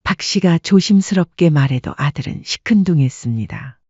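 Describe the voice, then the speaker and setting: A woman narrating a scripted story with a soft, husky voice. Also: low-pitched, lively intonation, moderate pace, no echo, lo-fi sound.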